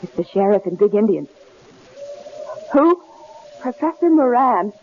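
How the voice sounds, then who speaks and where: A woman's voice: medium pitch, very animated, unhurried. A woman acting an anxious, bewildered young wife in a radio drama, speaking earnestly with hesitant stammers. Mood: frightened, urgent. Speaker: actor. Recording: dull, noisy vintage radio drama recording.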